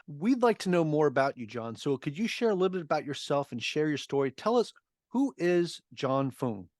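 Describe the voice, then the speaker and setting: A man's voice: on the high side, big pitch swings, moderate pace, darkish tone, no room sound, clean studio sound. A man hosting a podcast, joking and chatting casually with his guest. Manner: jokey and friendly, casual fillers. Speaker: podcast host. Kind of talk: conversational interview.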